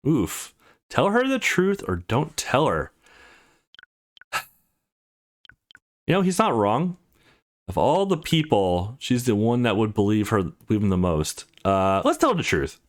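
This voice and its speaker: A man's voice: medium pitch, a little slow, long pauses. A man doing a video game let's play, reading story text aloud expressively and adding short reactions. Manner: expressive, acts out the dialogue lines. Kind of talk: reading visual novel dialogue aloud with brief reactions.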